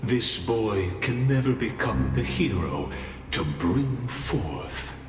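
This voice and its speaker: A man playing a solemn, ominous narrator of doom in a video game, speaking in a grave, theatrical and deliberate way. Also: medium pitch, lively intonation. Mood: grave, bitter. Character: solemn, ominous male narrator foretelling a doomed hero. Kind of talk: dramatic scripted game narration. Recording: echoey long reverb under loud music.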